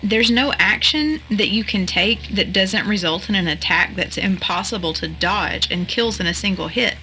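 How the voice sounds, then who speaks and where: A woman's voice: medium pitch, normal speed, bright, forceful tone, faint music behind, lightly acted, low-quality recording. A woman acting as a level-headed analyst in a mystery audio drama, speaking in an articulate, matter-of-fact way. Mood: analytical, matter-of-fact. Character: analytical, level-headed woman puzzling over a strange game.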